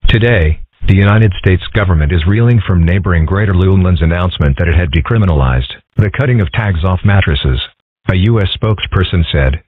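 Casting: man as formal cartoon news announcer, low and husky, narrow phone-like audio. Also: brisk, no room sound. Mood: newsy. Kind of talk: news bulletin in comic dub. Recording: muffled narrow radio-like sound.

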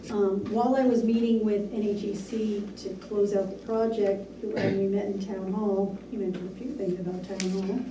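A woman reporting to a town board in a measured, businesslike way with frequent ums, recorded in a small municipal meeting room by a distant camera mic. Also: medium pitch, little pitch movement, roomy sound, background noise. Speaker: town administrator. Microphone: far room camera.

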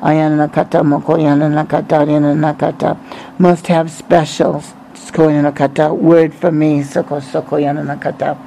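A man's voice: a little high, level tone, moderate pace, gravelly, mellow, low-quality recording. A man preaching a religious prophecy, breaking short phrases up with repetitive, incantatory chanting in tongues, over some background noise.

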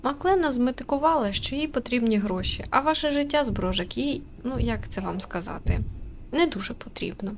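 A woman's voice: on the high side, animated, brisk. A woman giving casual, reflective commentary during a visual novel playthrough. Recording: clear background noise.

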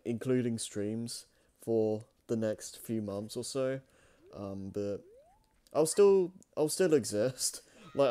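Mellow-voiced man, relaxed co-host. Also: mid-range voice, clean tone. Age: 20s. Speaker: co-host and channel owner. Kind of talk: casual chat, channel update.

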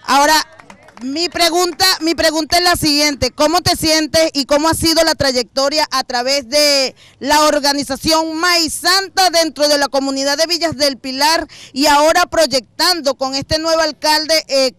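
High bright-voiced Venezuelan woman host. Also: steady tone, moderate pace, dry recording. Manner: effusive, fervent, repeats herself. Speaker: community TV host. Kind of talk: street interview on local TV. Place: outdoors on a residential street. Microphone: handheld mic.

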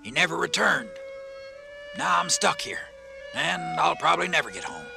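High-pitched, creaky old castaway. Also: male voice, sing-song, moderate pace, over music, heavily acted. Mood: resigned. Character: gruff, kindly old male castaway. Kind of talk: dramatised children's read-along storybook.

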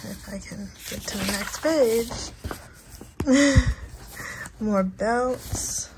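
A young woman hosting a casual, soft-spoken flip-through at home, talking gently and relaxed with lots of "like". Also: a little high, extremely slow, noisy background. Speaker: channel host. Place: indoors at home, over a bed.

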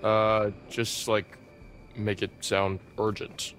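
A man's voice: fairly low pitch, little pitch movement, slow, a bit bright. An actor playing a young male camp counselor with a dry, teasing way of talking, in a video game. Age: young adult. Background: music.

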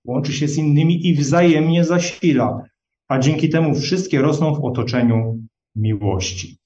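A man delivers an earnest, measured sermon and keeps turning to his listeners as brethren. His voice is mellow and sits at an ordinary pitch. The dull, lo-fi recording catches him from a distance in an echoey hall. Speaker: lay preacher.

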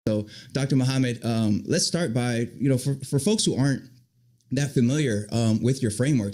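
A man with a medium-pitched voice hosts a conversational interview on a video call. He words his questions haltingly, pausing and repeating as he goes. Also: darkish tone. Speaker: interview host.

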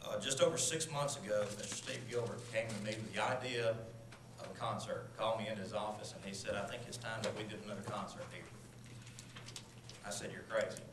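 A man's voice: on the low side, brisk. A man standing at a podium in a large council chamber, giving a formal address full of thanks and named acknowledgements. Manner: earnest, lists names and thanks. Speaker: public speaker at city council. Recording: roomy hall sound with noise.

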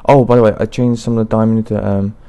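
A young man casually talking through a video game, mumbling to himself in an unhurried way, his throat bothering him. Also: fairly low pitch, flat delivery, warm tone, smooth. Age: young adult. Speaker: gaming channel host. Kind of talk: casual let's play commentary.

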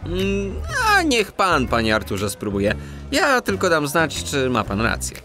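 An actor playing an excitable, polite male cat detective who tells his story with earnest expression in a children's audio drama. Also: a little high, unhurried, faint music behind, exaggerated voice. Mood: startled, excited.

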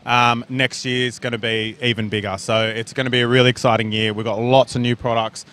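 A man in his thirties, a brand representative, pitching products upbeat with some fillers, on a handheld mic in an indoor expo hall. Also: flat delivery, fairly quick, a bit bright, clean tone.

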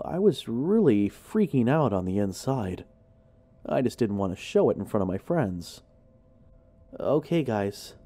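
A man narrating a horror story in the first person, in an informal, expressive, dramatic way. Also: fairly low pitch, creaky.

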